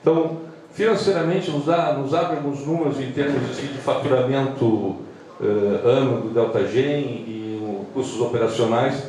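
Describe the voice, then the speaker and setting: A southern Brazilian man talks to an audience in a conversational, direct way. His tone is soft-edged and mellow. He is heard from a distance in a strongly reverberant room, as someone moderating an event would be. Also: mid-range voice, normal speed. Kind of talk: informal speech to an audience. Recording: echoey room, far mic, faint noise.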